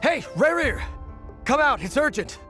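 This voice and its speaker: An urgent, commanding man in a video game. His voice is pitched high, and music plays clearly behind it. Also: sing-song, unhurried, exaggerated voice. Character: urgent, commanding male comrade. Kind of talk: dramatic video game voice acting.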